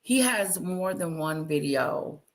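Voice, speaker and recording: A woman hosting a casual live stream, chatting and greeting viewers by name in a loud voice. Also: lowish voice, expressive, slow pace. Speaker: livestream host reacting to news clips.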